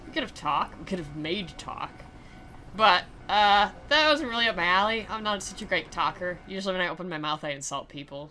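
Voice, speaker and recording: A woman's voice: fairly low pitch, pushed and bright, a little hiss or hum. A woman doing a playful, theatrical read-aloud of a visual novel, mixed with sarcastic commentary. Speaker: game commentator and dramatic reader. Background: music.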